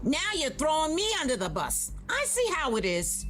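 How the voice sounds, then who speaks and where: A woman's voice: sits high, wildly swooping pitch, normal speed, a bit bright, soft background music, exaggerated voice. A woman playing a hot-headed, brash emotion in an audio drama, talking tough and spoiling for a fight. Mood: combative. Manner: brash, tough street talk.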